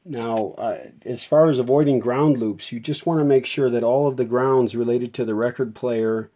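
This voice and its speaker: A man with a mellow, relaxed voice at an average pitch talks through a hands-on repair. He explains each step in a folksy, unscripted way, as a restorer showing his work. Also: normal speed. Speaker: radio restorer giving a repair video.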